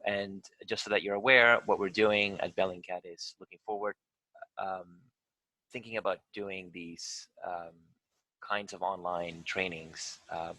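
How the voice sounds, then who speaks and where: A man's voice: sits low, bright, forceful tone, no echo, low-quality recording. A man from Venezuela, an investigator, leading a live online tutorial over a video call, talking with many ums and uhs.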